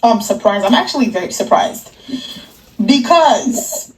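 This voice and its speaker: A woman's voice: a little high, expressive, measured pace, distant mic, poor audio. A woman talking casually and earnestly to camera in a video, with some hesitation. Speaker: video maker.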